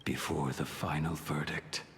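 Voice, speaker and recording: World-weary game warrior, male, very slow and deadpan, rock-bottom pitch, faint music. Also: husky, heavily acted. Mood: resigned, solemn. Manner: terse, grave, deadpan. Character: stoic, world-weary ageless swordsman resigned to his fate. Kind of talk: video game voice acting.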